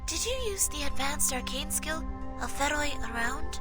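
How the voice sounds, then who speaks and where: A woman voicing an earnest, dutiful young female assistant in a video game, polite, gentle and hesitant. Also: high-pitched, normal speed, loud music behind the voice, natural voice. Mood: polite, flustered.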